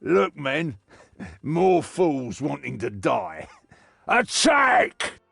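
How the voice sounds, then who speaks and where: An actor playing a menacing, taunting male bandit leader with a gravelly voice in a game. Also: a little high, wildly swooping pitch, extremely slow, fairly bright tone, no room sound, raised voice, faint music behind, heavily acted. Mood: gloating, aggressive. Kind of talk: scripted game cutscene acting.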